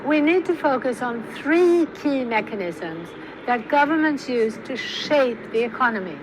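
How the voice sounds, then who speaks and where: A woman narrates a documentary in a steady, explanatory voice, pitched a bit high. The clip comes from a film soundtrack, and clear background noise sits under her words. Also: a little slow.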